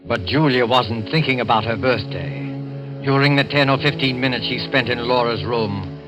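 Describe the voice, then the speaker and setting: Dramatic music plays clearly under a man's mid-pitched voice. He narrates a vintage radio thriller, telling the story in measured, suspenseful phrases. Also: rough recording.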